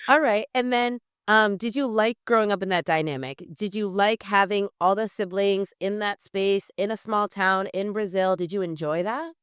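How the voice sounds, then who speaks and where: Clear-voiced woman hosting an interview. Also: mid-range voice, normal speed, hardly any pauses, clean studio sound. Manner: encouraging, echoes guest's answers. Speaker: podcast host interviewer. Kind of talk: conversational interview.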